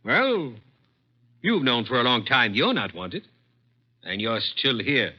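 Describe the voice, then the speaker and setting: A man's voice: mid-range voice, wildly swooping pitch, slow pace, full character voice. An actor playing a cruel, contemptuous executive in his thirties in a vintage radio drama, sneering and domineering. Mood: cold, menacing. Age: 30s. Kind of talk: 1940s-50s radio drama acting. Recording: dull vintage radio recording.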